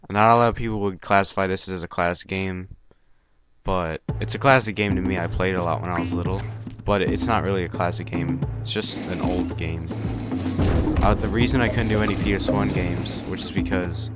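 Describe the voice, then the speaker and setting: A man hosting a let's play with casual, rambling, hesitant game commentary. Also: deep voice. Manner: hesitant, offhand. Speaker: gamer, let's play host. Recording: loud game music behind.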